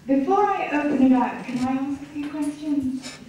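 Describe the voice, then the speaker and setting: A woman's voice: high-pitched, moderate pace, mellow, slight background noise. A woman moderator who thanks the speaker and politely asks questions with eager interest. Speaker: event moderator. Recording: dull, echoey, distant mic.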